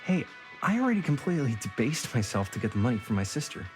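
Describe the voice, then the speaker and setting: A man's voice: medium pitch, big pitch swings, fast, a little mellow, soft background music, lightly acted. An actor playing a nervous but jokey young man, an amateur film actor, with an American accent and a casual, hesitant manner in a video game. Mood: uneasy. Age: young adult. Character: nervous, self-assured-joking teenage guy, amateur actor. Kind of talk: naturalistic video game acting.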